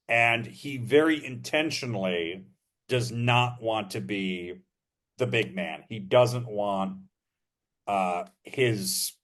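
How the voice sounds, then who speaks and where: A man co-hosting a podcast, explaining history in a measured, casual way, thinking aloud with frequent ums and uhs. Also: mid-range voice.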